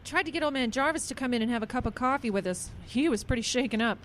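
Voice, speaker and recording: A woman's voice: fairly high pitch, fast, slight background noise, close to an everyday voice. A woman playing an earnest, concerned small-town woman in a radio drama.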